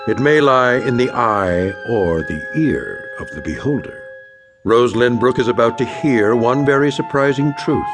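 Portentous storyteller, knowing, wry, deliberate phrasing, very varied pitch, radio drama, music bed. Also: male voice. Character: measured, ominous, knowing male storyteller. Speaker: narrator. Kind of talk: dramatic scripted anthology narration.

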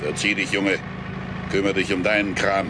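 An actor playing a menacing, commanding old necromancer villain in a horror audio drama, gloating and sinister. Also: male voice, low voice, flat delivery, moderate pace, background noise, lightly acted. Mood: gruff, angry. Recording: echoey hall with long reverb, loud music.

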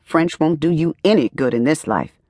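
A woman's voice: deep voice, expressive, normal speed, a little mellow, no room sound, studio quality. Woman voicing a stern, snappish, scolding mother. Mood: irritated. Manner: curt, scolding.

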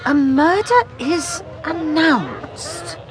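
A woman's voice: sits high, expressive, drawn-out words, over music, full character voice. An actress playing a timid, scatterbrained English countrywoman in a radio drama, dithery and repeating her words. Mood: puzzled, dithering. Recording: noisy outdoor farmyard ambience.

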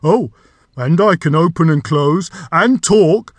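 A man voicing a talking magic door from a storybook, a gruff, self-important gatekeeper with a booming gravelly voice. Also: lively intonation, slow pace, no room sound. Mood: chatty, rambling. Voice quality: deep, gravelly.

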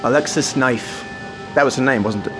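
An actor playing a keen British police assistant in a radio drama, dry and clipped. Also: male voice, mid-range voice, normal speed, over music, natural voice.

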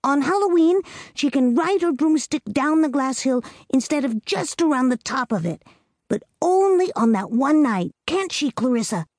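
A woman's voice: high voice, animated, normal speed, darkish tone, no room sound, studio quality, pitched above the performer's own voice. A woman doing a bossy little girl, Amy. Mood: earnest. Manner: earnest, commanding, a bit solemn. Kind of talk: storybook reading.

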